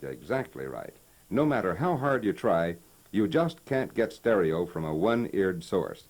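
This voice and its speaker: An actor playing a patient, knowledgeable American hi-fi expert in a scripted, folksy instructional conversation from 1960. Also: male voice, deep voice, sing-song, measured pace, a little mellow, heavily acted. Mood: assured. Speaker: presenter explaining stereo equipment. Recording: vintage 1960 promotional tape recording.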